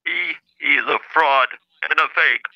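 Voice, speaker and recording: A man voicing an indignant caller in a comedy phone-in, with a stilted, insistent, synthesizer-like flat delivery over the telephone. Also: fairly high pitch, normal speed. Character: indignant male caller claiming to be a famous scientist's brother, robotic-talking. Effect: telephone call. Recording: dull lo-fi phone line.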